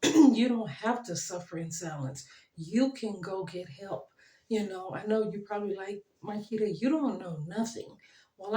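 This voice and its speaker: A middle-aged woman talking earnestly to her viewers, her voice worn and fading, pausing often. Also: mid-range voice, reverberant, low-quality recording. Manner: earnest, gentle, pauses with ums and soft laughs. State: losing her voice. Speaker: channel host. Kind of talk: heartfelt motivational talk to camera.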